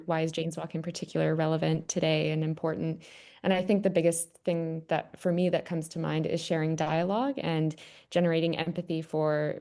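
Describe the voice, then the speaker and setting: Low, gravelly young Canadian woman. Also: a little mellow. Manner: chatty, with many "kind of" fillers. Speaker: guest panelist. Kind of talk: casual webinar talk. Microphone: video call.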